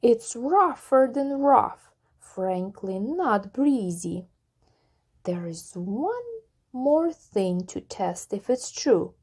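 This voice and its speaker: Woman voicing an earnest, high-pitched young cartoonish elephant. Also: sing-song, extremely slow, a little mellow, breathy edge, heavily acted. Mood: discouraged, determined. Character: naive, cheerful young male elephant who loves singing.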